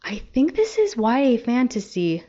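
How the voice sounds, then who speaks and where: A woman's voice: fairly high pitch, very animated, moderate pace, dark tone. Young woman chatting, slightly dull recording. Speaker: book vlogger. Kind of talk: casual chat to camera.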